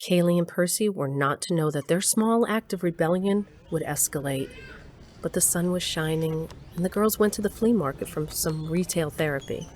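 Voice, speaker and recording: An actress narrating an audio drama as a knowing storyteller, reading scripted narration in a measured way. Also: female voice, lowish voice, a little mellow, natural voice.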